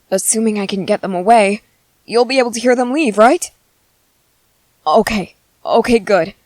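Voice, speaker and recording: A woman voicing a gentle, clever young male soldier in an ASMR roleplay, speaking softly and politely with hesitant ums. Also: medium pitch, no room sound, natural voice.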